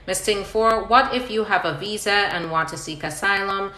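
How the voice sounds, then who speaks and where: A woman's voice: medium pitch, moderate pace, bright, forceful tone. A woman attorney hosting a live question-and-answer session, reading out viewer questions and explaining the answers step by step in a conversational way. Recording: roomy, echoing live-stream sound.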